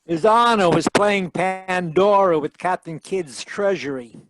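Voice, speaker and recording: Wry old-radio private eye, glib wisecracks, high voice, really dry close sound. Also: male voice, measured pace, no room sound, rough recording. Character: wisecracking hard-boiled private detective man, dry and brisk. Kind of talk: 1940s radio detective acting.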